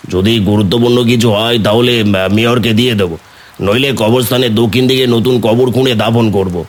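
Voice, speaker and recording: An actor playing a gruff, bossy building secretary in an audio horror story, speaking in curt orders. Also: male voice, mid-range voice, fairly even intonation, normal speed, lightly acted. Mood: commanding, curious.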